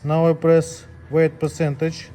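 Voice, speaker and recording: Higher-voiced man giving step-by-step instructions, mellow tone. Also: completely flat delivery, unhurried. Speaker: scale technician demonstrating calibration. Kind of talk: hands-on tutorial, unscripted step-by-step instructions.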